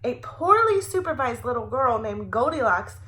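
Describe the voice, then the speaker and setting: Very animated, high-pitched woman reading a storybook. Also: moderate pace. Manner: expressive, playfully wry delivery. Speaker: teacher reading aloud to preschoolers. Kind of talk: animated picture-book read-aloud for young children.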